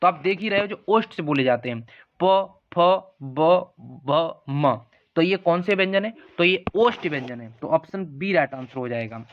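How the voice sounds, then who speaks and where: Higher-voiced man teaching, dull recording. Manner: instructional, reads out questions and options, repeats answers. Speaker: exam-prep teacher. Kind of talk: lesson walking through multiple-choice practice questions.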